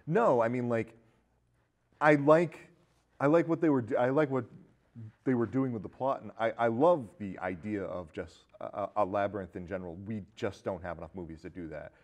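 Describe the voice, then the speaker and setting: A man co-hosting a casual film discussion, talking in a halting, rambling way. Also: fairly low pitch. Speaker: podcast co-host.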